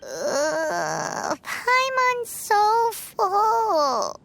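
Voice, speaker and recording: An actress voicing a tiny, cheeky, childlike fairy companion with a squeaky voice in a video game. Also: female voice, wildly swooping pitch, very slow, creaky, a bit bright, a little hiss or hum, exaggerated voice. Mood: whiny, stuffed. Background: background music.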